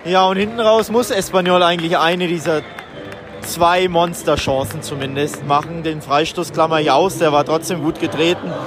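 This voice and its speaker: A man's voice: a little high, steady tone, normal speed. A man giving a live match report outdoors from a football stadium, his voice raised over the din. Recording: loud stadium crowd noise. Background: stadium crowd.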